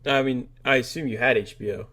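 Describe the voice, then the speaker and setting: A man's voice: mid-range voice, fairly even intonation, fairly quick, a bit bright. Blunt, slangy man on a podcast. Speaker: podcast co-host. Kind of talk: casual film review chat.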